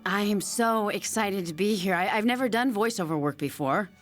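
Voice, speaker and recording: A woman playing an eager, apologetic assassin heroine who talks haltingly in a comedic game scene. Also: mid-range voice, over music, natural voice. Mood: excited. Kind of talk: comedic game cutscene acting.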